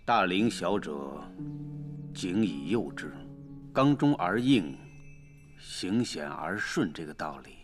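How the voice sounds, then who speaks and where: An actor playing a calculating, fatherly duke nearing fifty in a Chinese period drama, speaking with measured authority. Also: deep voice, big pitch swings, over music, heavily acted. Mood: grave, instructive. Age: middle-aged.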